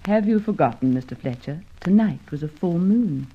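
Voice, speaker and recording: Low, husky farmer's daughter. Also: female voice, lively intonation, normal speed. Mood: curious. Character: earnest, matter-of-fact young farmer's daughter. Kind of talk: old radio drama acting. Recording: muffled old radio recording.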